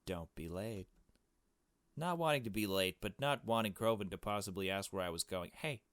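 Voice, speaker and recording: Low-pitched man reading a game aloud. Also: fairly quick, a bit bright, smooth, no echo. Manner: casual, blunt asides with swearing. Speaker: gaming video host. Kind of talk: let's play, reading visual novel aloud with casual commentary.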